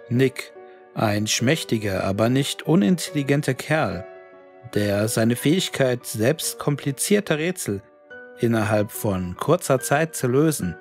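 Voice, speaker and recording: Male horror narrator, unhurried measured read, soft music bed. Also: medium pitch. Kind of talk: scripted creepypasta narration.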